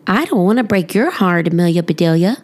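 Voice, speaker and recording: A playful little boy from a storybook, voiced by a woman reader. He sounds boyish and earnest, with lively, wide-ranging pitch. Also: fairly quick, lightly acted. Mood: sincere.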